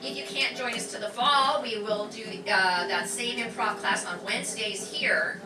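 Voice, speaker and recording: Woman class instructor, upbeat and chatty, on the high side, caught from far off with music behind. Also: measured pace. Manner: chatty and upbeat, with many fillers. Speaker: class instructor welcoming an audience. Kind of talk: live stage welcome and announcements. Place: community center performance room. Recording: echoey room from far off.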